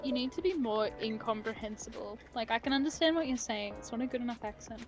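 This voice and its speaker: A woman's voice: a little high, fast talker, music in the background. A woman in casual chat, joking bluntly and swearing.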